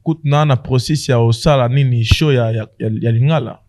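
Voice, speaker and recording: A Congolese man talks quickly in a mellow, medium-pitched voice. He chats casually as a comedian on a podcast, mixing languages and repeating words as he tells his stories. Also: slight background noise. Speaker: stand-up comedian guest. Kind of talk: casual podcast chat, switching between languages.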